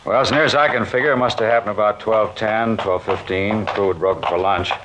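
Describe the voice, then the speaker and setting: A man's voice: fairly low pitch, fairly even intonation, brisk, lightly acted. An American man playing a harried, talkative film production supervisor, offhand and conversational, in 1950s radio drama style. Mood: harried, explaining. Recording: dull, noisy vintage radio recording.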